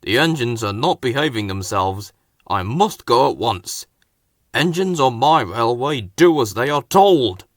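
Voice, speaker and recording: A man's voice: lowish voice, animated, measured pace, a bit bright, no room sound, clean studio sound. A man voicing a stout, firm railway boss in a storybook read-aloud, clipped and commanding. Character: stout stern railway boss, brisk and kindly.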